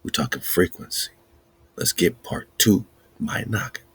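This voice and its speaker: A man's voice: sits low, lively intonation, measured pace, a bit bright, breathy edge, dry recording. A man hosting a podcast, giving a spiritual teaching talk in a preachy way, repeating and chanting lists and laughing between points. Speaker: podcast host.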